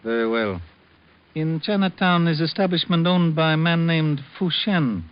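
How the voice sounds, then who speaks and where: An actor playing a calm, cryptic old shopkeeper who speaks in broken English and proverbs, in a 1940s radio drama. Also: male voice, fairly high pitch, moderate pace, clear, resonant tone, lo-fi sound, natural voice. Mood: measured. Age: older.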